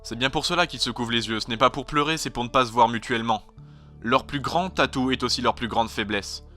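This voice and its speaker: A man's voice: medium pitch, a little fast, pushed and bright, close to an everyday voice. A man voicing a grave, eccentric stranded time traveler in an amateur fandub, explaining things earnestly and dramatically. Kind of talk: amateur French fandub acting. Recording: home dub over background music.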